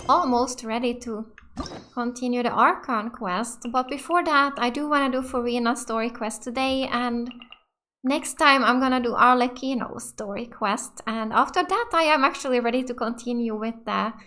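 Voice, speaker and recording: A woman's voice: high voice, bright, forceful tone. A woman giving casual gameplay commentary as the host of a let's play. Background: faint game music.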